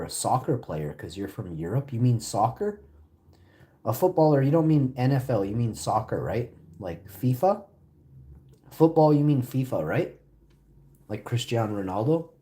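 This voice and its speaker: Male streamer, deep mellow voice, rambling casual chat with viewers. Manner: rambling, chatty, answers chat questions between bites. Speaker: live streamer.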